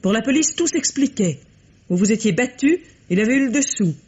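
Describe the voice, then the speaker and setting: An actress playing a grave, distraught woman with a husky voice in a vintage French radio drama. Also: on the high side, animated, fairly quick, a little mellow, muffled recording. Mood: agitated, distraught.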